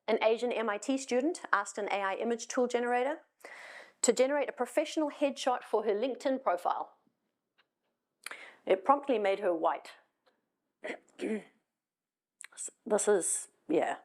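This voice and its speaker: A woman's voice: a little high, lively intonation, normal speed, long pauses, a little mellow. A middle-aged woman from South Africa with a South African accent, giving a conversational talk on stage with wry asides and frequent ums. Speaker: conference speaker. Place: stage in a hall.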